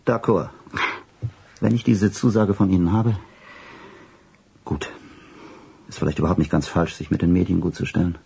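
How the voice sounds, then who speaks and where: A stiff, disapproving doctor in a radio drama. His voice is very low, gravelly and badly husky, and he talks formally and haughtily. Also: fairly even intonation, normal speed, pauses often, warm tone, exaggerated voice. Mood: reluctant, condescending. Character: stiff, guarded, disapproving male doctor of conservative views. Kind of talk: German radio drama acting.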